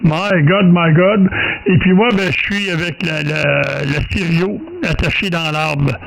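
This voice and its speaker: A man's voice: a little high, steady tone, measured pace, vocal fry, rough recording. A man with a Quebec French accent chatting casually as an amateur radio operator, feeling for his words. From Quebec, Canada. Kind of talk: casual radio contact chat.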